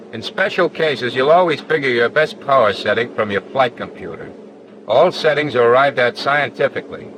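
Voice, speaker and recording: An actor playing a patient, matter-of-fact flight instructor in a 1940s training film, measured and firm. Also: male voice, medium pitch, lightly acted. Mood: firm, instructive. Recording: 1940s film soundtrack with faint noise.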